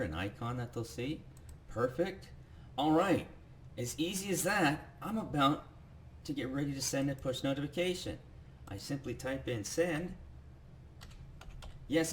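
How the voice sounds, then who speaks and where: A man presenting a software demo as a casual, enthusiastic sales pitch, talking the viewer through each step. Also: slight background noise.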